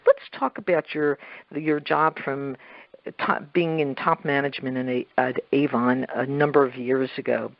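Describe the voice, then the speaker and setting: Female talk show interviewer, deep for a woman, careful stop-start questioning, muffled lo-fi recording. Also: dry recording. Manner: halting, deliberate phrasing with rephrasing.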